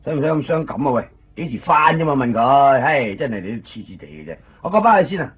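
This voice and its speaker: An actor playing an excitable, anxious young man in a 1990s Cantonese radio drama, speaking colloquial Hong Kong Cantonese as he lives and narrates his story. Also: medium pitch, fast, lightly acted. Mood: nosy, casual. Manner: colloquial, expressive. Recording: dull 1990s radio recording, loud music behind. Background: music.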